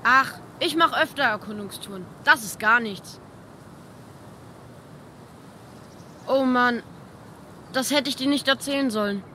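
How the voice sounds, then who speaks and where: Bright, high-voiced eager young boy, played by a woman. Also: moderate pace, slight background noise. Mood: boastful. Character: eager, imaginative young boy who loves comics and exploring. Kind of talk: naturalistic video game dialogue.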